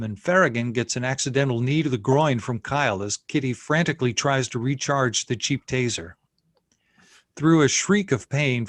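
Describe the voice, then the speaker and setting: A man's voice: mid-range voice, no echo, studio quality. A man reading scene headings and stage directions as narrator at a screenplay table read.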